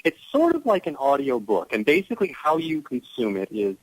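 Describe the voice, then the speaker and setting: A man's voice: medium pitch, huge pitch swings, a little fast, no echo, poor audio. Enthusiastic male interview guest, very dry sound. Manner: enthusiastic, explanatory. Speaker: guest, audio drama actor. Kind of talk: casual radio interview.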